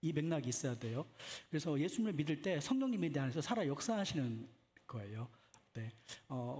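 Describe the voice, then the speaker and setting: A man's voice: on the high side, a little mellow. A man preaching in a conversational style, putting questions to listeners and often repeating short affirmations. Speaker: preacher at a church retreat. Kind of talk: conversational sermon with questions to congregation.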